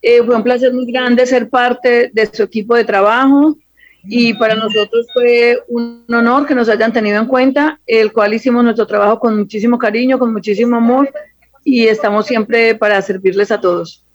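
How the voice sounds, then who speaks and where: Lady guest being polite, highish voice, dull lo-fi audio. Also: fairly even intonation, moderate pace, no room sound. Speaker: interview guest, costume designer. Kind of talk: polite thanks in a conversation. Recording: dull, lo-fi sound.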